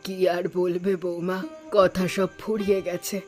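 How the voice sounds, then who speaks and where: A woman voicing a sorrowful ageing mother-in-law in a cartoon drama, speaking in a slow, weary, heavy manner. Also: fairly low pitch, flat delivery, a little mellow, soft background music, close to an everyday voice. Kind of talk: Bengali cartoon melodrama voice acting.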